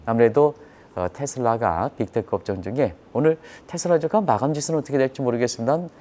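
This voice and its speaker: A man's voice: medium pitch, fast, darkish tone. A man giving live financial news commentary, explaining step by step, repeating key terms and putting rhetorical questions to viewers. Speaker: economic commentator.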